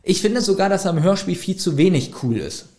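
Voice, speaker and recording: A man's voice: high voice, expressive, fairly quick, very noisy. A man co-hosting a casual chat, reading from a book and laughing as he stumbles over words. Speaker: podcast co-host. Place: home room by a window, getting dark.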